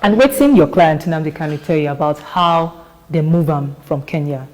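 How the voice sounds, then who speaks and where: A woman's voice: deep voice, normal speed, darkish tone. A woman with a Nigerian accent putting questions as a news interviewer.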